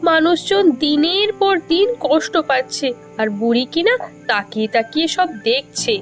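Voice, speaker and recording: A woman's voice: high voice, expressive, moderate pace, faint music behind. A woman playing a distressed, complaining village woman in a fairy-tale cartoon, pleading as she talks. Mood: indignant.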